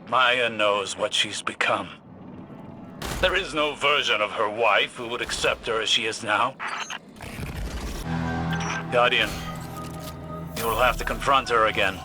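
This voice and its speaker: A man playing a wise, grave commander in a video game, speaking in a solemn, measured way. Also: on the low side, music in the background. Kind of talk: dramatic video game acting.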